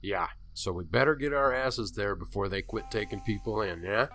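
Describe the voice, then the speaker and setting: A man playing a foul-mouthed, bossy survivor with a gruff, blunt manner in an audio horror story. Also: on the low side, moderate pace, a bit bright, faint music behind. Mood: weary, insistent.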